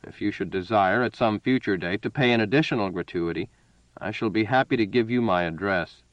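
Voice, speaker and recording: A man's voice: on the low side, expressive, brisk, no echo, lo-fi sound, lightly acted. A man voicing a sardonic older ship's officer who lectures in a dry, satirical way.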